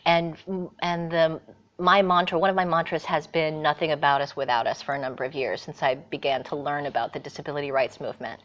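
A middle-aged woman with an American accent, speaking in a low voice. She answers earnestly and thoughtfully, pausing often and using fillers. The audio is dull and lo-fi. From California, USA. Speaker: guest. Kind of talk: reflective interview answers.

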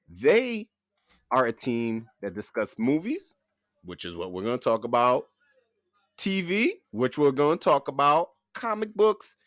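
A male podcast host with a slightly high voice and very animated intonation. He announces emphatically, stretching key words in an upbeat introduction and interview style. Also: no room sound, clean studio sound.

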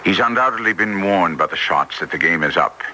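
Incisive, authoritative detective, deep and very animated, old radio drama style. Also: male voice, fairly quick, full character voice. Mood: grim, assured. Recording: noisy vintage radio recording.

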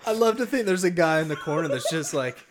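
A man's voice: fairly high pitch, lively intonation, fast talker, very noisy. A young man co-hosting a podcast, reading listener emails aloud with playful, dry asides. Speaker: podcast co-host.

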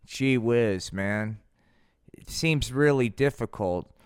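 A man's voice: mid-range voice, slow pace, clear, resonant tone, no room sound, clean studio sound, close to an everyday voice. A man voicing a reluctant, pressured prophet puppet in a comic show, meek and golly-gee folksy.

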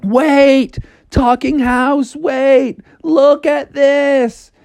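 A man's voice: very high-pitched, flat delivery, very slow, gravelly, a little mellow, no echo, voice pushed up, exaggerated voice. A man voicing a cheeky, easygoing talking frog in a children's story, breezy and cocky. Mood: pleading.

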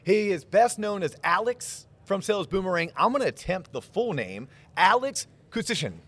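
A man's voice: high voice, sing-song, a bit bright, no room sound. A man hosting a podcast interview at a conference, joking and hyped in casual talk. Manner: hyped, joking, fast-talking. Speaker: podcast host. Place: conference venue.

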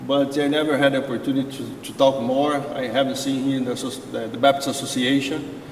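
A man's voice: mid-range voice, little pitch movement, fast talker. A man whose first language is Portuguese, speaking as a pastor with a Portuguese accent in hesitant English full of "uh" fillers. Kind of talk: halting church testimony. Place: large church sanctuary. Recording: roomy echoing church hall, faint background noise.

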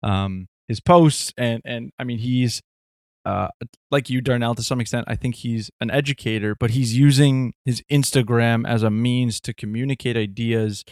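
A man's voice: mid-range voice, fairly even intonation, moderate pace, darkish tone, no room sound, studio quality. A man from Canada co-hosting a podcast, talking casually with many fillers and restarts. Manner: rambling, hesitant, filler-heavy. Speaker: podcast co-host.

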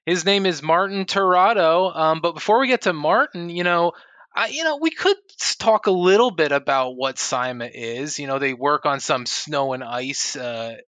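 A man's voice: fairly high pitch, animated, normal speed, fairly bright tone, dry recording. A man co-hosting a casual podcast, upbeat and friendly, with plenty of fillers. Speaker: podcast co-host.

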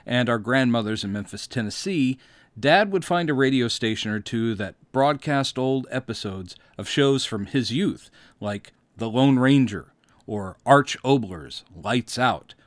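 American male podcast host, fifties, wry storyteller with very lively intonation and a dry, close studio sound. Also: medium pitch, a little slow, no room sound. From Mississippi, United States. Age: 50s. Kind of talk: scripted autobiographical narration.

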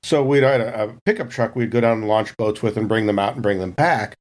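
A man's voice: on the low side, a little fast. A man on a podcast in casual conversation, telling anecdotes in a loose, informal way. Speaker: podcast cast member.

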